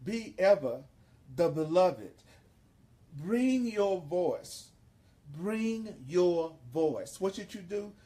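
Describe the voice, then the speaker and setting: Male spiritual teacher, very unhurried and relaxed in tone, sermon delivery, emphatic and repetitive phrasing. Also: high voice. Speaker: spiritual teacher leading a course.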